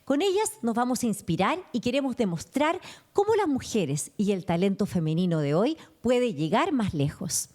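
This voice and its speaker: A woman hosting an interview podcast, greeting and questioning her guest in an effusive, expressive way. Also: fairly high pitch, moderate pace. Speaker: podcast host. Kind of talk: conversational interview.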